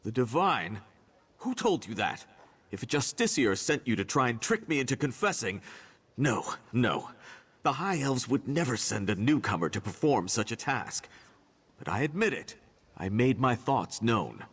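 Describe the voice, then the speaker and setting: An actor playing a worried, earnest immigrant merchant in a fantasy video game. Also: male voice, mid-range voice, big pitch swings, moderate pace, a bit bright, breathy edge. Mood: wary, then aggrieved. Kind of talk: video game character dialogue.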